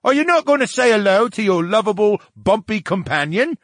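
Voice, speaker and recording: Male narrator as talking brain in a tank, grumbling, needy, theatrical, raised pitch, dry close sound. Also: animated, a little fast, a bit bright, no echo. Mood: indignant. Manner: indignant, theatrical complaining. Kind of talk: audiobook character dialogue.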